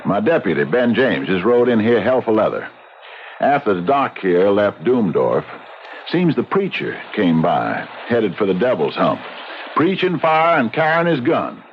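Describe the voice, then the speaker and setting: A big, stoic frontier sheriff speaks plainly in an old radio drama. His voice is low but moves freely in pitch. The recording is muffled, with clear noise. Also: sing-song, a little slow. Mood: urgent. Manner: laconic, plain-spoken.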